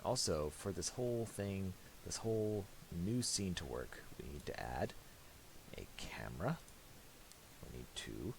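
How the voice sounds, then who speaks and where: Male tutorial presenter, low and unhurried, halting and unscripted, casual delivery. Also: lively intonation, creaky. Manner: halting, thinking aloud. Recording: faint hiss and mouse clicks.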